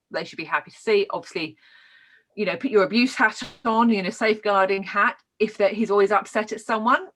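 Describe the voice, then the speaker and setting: A woman's voice: medium pitch, fairly bright tone. A woman with a British accent teaching child development in an informal lesson, explaining points and checking in with her learners.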